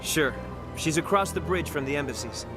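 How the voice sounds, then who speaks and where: A man's voice: a little high, normal speed, natural voice. An actor playing a nervous, naive young private in a video game, flustered and hesitant as he talks. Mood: awkward. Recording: game music behind the voice.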